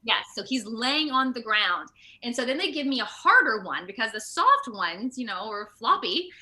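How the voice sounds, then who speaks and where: A woman with a very bright, forceful voice chats casually as an interview guest. Her pitch sits a little high and moves around a lot. She is expressive and giggly, retelling things with dramatic flair. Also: moderate pace. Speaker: interview guest, video game voice and motion capture actor. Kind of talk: casual animated interview chat.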